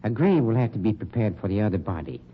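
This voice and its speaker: Casting: polished, sarcastic undertaker boss, low and lively voice, old radio crime drama. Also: male voice. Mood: cool scheming. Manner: polished, sarcastic, condescending, deliberate diction. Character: suave, sardonic, polished male funeral home director, shady boss. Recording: dull, noisy old radio recording.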